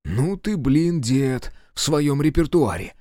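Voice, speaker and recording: A man's voice: a little high, expressive, slow pace, mellow, dry recording, studio quality. A man voicing a panicky, whiny young grandson who pleads and complains in a comic audiobook. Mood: aggrieved. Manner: pleading, exclamatory, aggrieved.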